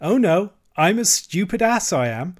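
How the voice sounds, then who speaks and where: A man voicing a vain, excitable storybook toad with a boastful, melodramatic way of talking. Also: medium pitch, normal speed, lightly acted. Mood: smugly boastful. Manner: self-congratulating, melodramatic.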